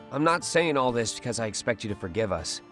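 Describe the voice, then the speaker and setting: A teenage schoolboy with a middling pitch, talking bluntly and casually. Soft music plays faintly behind him. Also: male voice. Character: blunt male high school student. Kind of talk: English game dub dialogue.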